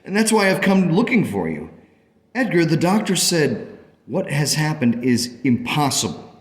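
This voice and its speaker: A man's voice: on the low side, animated, a little slow. An actor playing an earnest, grateful father in a radio play, telling his story emphatically and emotionally. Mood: urgent, amazed. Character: earnest, grateful father of a young daughter, searching for answers. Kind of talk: dramatic first-person storytelling. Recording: long reverberant hall echo.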